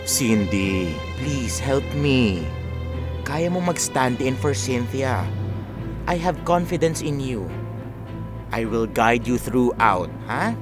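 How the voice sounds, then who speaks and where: An actor playing a calm, persuasive male film director in a Filipino radio drama, coaxing as he talks. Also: mid-range voice, over music, natural voice. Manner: slow, coaxing.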